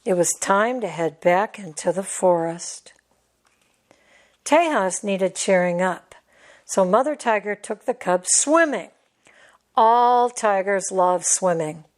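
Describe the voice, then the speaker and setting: A woman's voice: medium pitch, lively intonation, slow pace. A woman reading a scripted children's story as a storybook narrator. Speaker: storybook reader.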